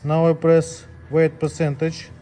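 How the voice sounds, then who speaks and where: A man giving a step-by-step technical tutorial as a technician, speaking plainly in short, halting phrases. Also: a little high, monotone, mellow, a little hiss or hum. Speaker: technician demonstrating equipment calibration.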